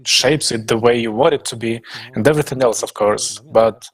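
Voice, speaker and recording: Husky man in casual discussion. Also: mid-range voice, normal speed, poor audio. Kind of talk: informal panel talk.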